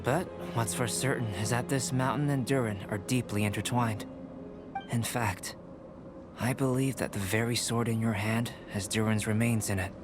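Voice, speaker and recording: Man acting a calm scholarly young alchemist, formal and measured. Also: medium pitch, music in the background, lightly acted. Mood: musing. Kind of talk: video game dialogue acting.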